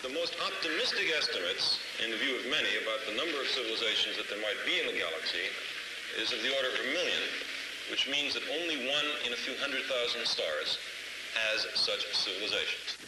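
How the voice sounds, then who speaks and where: A man's voice: medium pitch, animated, fairly quick. A man giving considered remarks as a panelist at a symposium. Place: indoor symposium hall. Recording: echoey room, loud background noise.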